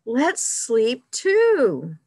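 A woman's voice: fairly high pitch, huge pitch swings, drawn-out words, darkish tone, slightly rough, no room sound, pitched above the performer's own voice, heavily acted. A woman voicing a sensible, sleepy older sister who speaks patiently and a little wearily.

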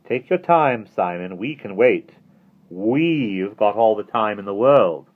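A man's voice: mid-range voice, very animated, moderate pace, breathy edge, phone-quality sound, voice pushed up. A man playing a smug, mocking villain in an audiobook, with a light, sneering voice. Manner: sneering, taunting. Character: sneering, mocking male villain, smugly patient.

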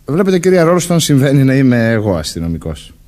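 A man acts an undercover officer in a radio play. He explains and reassures matter-of-factly in a medium voice with animated swings of pitch, dropping in small hesitant interjections. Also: natural voice. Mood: calm, explaining. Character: undercover policeman posing as a major, reassuring, matter-of-fact. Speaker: radio drama actor. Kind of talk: Greek radio play acting.

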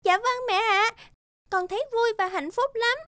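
A sweet, high-voiced little girl. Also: normal speed, bright, forceful tone, no echo, clean studio sound. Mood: tender, wistful. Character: sweet sentimental little girl, devoted daughter. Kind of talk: children's cartoon dialogue.

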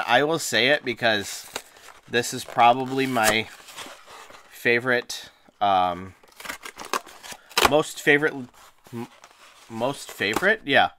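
A man hosting a card-opening video, chatting casually and a little hoarse from a cold, often restarting phrases and laughing as he talks. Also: medium pitch, a bit bright, a little hiss or hum. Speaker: card-opening channel host. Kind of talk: casual unboxing chat. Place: indoors at a table, hands on camera.

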